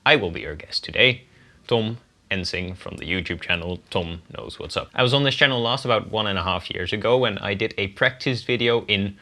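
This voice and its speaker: Brief: young Dutch-native man, lowish pitch, bright tone, steady explainer. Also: a little fast. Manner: clear, methodical explaining with spelled-out examples. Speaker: guest language teacher. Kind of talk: scripted pronunciation lesson.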